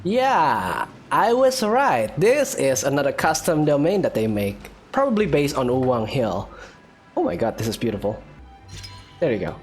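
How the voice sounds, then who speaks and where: A very low-pitched woman with lively intonation talks over game music. She gives chatty, casual gameplay commentary and reacts aloud as a streamer. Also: normal speed. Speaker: gaming streamer.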